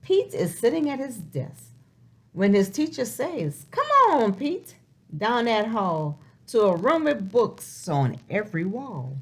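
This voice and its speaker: Slow, very animated woman reading to children. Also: mid-range voice, husky. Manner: expressive, sing-song, with rhetorical questions. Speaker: children's storybook reader. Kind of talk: animated read-aloud for young children.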